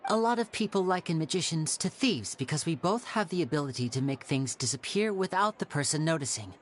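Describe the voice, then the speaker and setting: A woman playing a suave, theatrical young male stage magician in an anime game, smooth and showmanlike. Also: low-pitched, animated, brisk, a little hiss or hum. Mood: smooth, showy. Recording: music playing behind.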